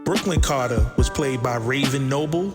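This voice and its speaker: A man's voice: medium pitch, lively intonation, normal speed. A man reading scripted podcast credits as an announcer. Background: hip hop music.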